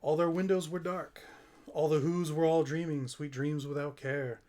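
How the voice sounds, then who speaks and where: A man reading a rhyming children's storybook aloud in a theatrical manner, with dramatic pauses. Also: on the high side, steady tone, darkish tone. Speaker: storybook reader on a holiday stream. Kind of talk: storybook read-aloud, scripted narration.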